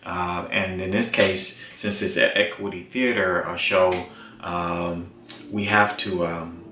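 An American man in his forties speaking informally to camera in a video diary, halting as he searches for words. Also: low voice, steady tone, recorded from a distance, noisy background. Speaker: actor presenting a behind-the-scenes series. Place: small indoor office. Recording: roomy, off-mic, faint music behind.

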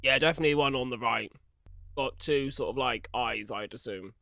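A man's voice: mid-range voice, normal speed, vocal fry, sharp tone, dry recording. A young man giving casual, jokey reaction commentary with exclamations of dread, close to a desktop microphone. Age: young adult. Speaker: video creator reacting to horror. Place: small room at home. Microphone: large desktop condenser microphone up close.

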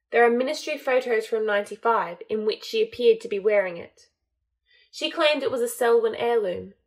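A woman's voice: medium pitch, close to an everyday voice. A woman voicing a formal, strained male servant reporting to his master in an audiobook reading. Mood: measured.

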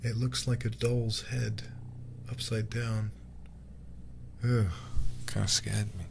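A man doing hushed ASMR game commentary as a livestreamer, murmuring softly and often whispering close to the microphone. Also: lowish voice, little pitch movement, takes it slowly, background noise. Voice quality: soft, breathy, often whispered. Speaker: livestreamer playing a horror game.

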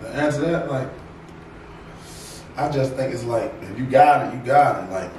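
A man's voice: medium pitch, a little mellow, a little hiss or hum. Mumbling young man, roomy and distant. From Baltimore. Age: young adult. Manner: mumbled, halting street slang. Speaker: rapper. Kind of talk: casual interview.

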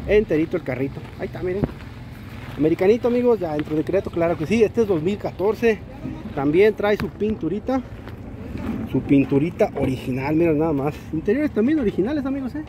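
A Mexican man with a fairly high voice walks through an outdoor used-car market, hyping each vehicle in a lively, slangy sales patter. He is recorded on a handheld camera, with clear outdoor street noise behind him. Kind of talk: walk-around car showcase.

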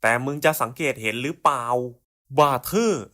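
A man's voice: medium pitch, normal speed, pushed and bright. A man voicing scripted cartoon puzzle skits in casual, slangy, coarse buddy-style banter.

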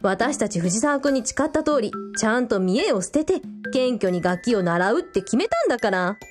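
A woman's voice: on the high side, lively intonation, normal speed, faint music behind, close to an everyday voice. A woman playing a teasing, sociable young elder sister in a radio drama, with a casual, playful way of talking.

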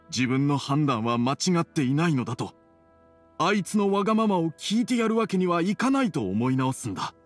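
A troubled middle-aged merchant father in an anime game. His husky voice rises and falls widely, earnest yet reserved, with music playing behind. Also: medium pitch.

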